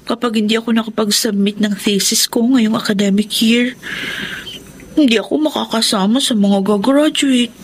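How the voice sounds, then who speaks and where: A woman's voice: fairly high pitch, fairly even intonation, normal speed, a little hiss or hum, natural voice. A woman playing a hesitant college-age younger sister in a Tagalog radio drama, coaxing as she talks. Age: young adult.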